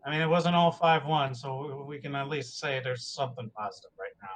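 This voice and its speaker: A man's voice: mid-range voice, a little fast, low-quality recording. A man playing a tabletop game, chatting casually about his moves and dice rolls. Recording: faint background noise.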